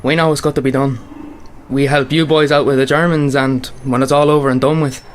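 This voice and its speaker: A man's voice: mid-range voice, flat delivery, brisk, lightly acted. A man with an Irish accent acting an earnest, plain-spoken Irish soldier in a radio play, in narration and dialogue. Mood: eager. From Ireland. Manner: reflective first-person storytelling. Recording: faint noise and background ambience.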